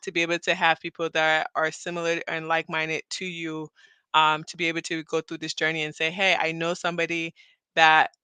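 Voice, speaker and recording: A woman from Cameroon hosts a live audio chat in a loose, rambling way and laughs easily. Her voice is bright and smooth, and the sound is close and dry. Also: sits low, monotone, brisk, dry recording. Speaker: host.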